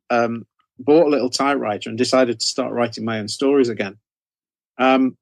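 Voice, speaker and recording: A man's voice: mid-range voice, no room sound. A middle-aged British man telling stories about himself as an interview guest, in a casual, modest, rambling conversational way. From United Kingdom.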